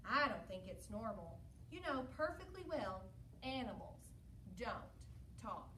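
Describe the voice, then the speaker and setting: A woman's voice: fairly high pitch, very animated, unhurried, pushed and bright, heavily acted. A woman voicing a worried, puzzled farm mother in a storybook, with a questioning, fretful manner. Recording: roomy echo, distant mic, faint noise.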